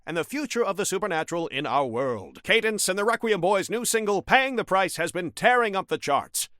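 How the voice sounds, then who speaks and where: A man's voice: high voice, fairly bright tone. A male voice actor playing a sensational old-time American radio newscaster for a video game, with a punchy, theatrical announcing style. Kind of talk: 1940s radio newsreel announcing, scripted.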